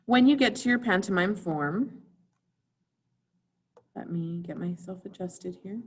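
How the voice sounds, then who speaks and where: A woman's voice: medium pitch, a little slow, husky. A woman theater teacher giving an informal recorded video lesson, instructing students directly and putting questions to them.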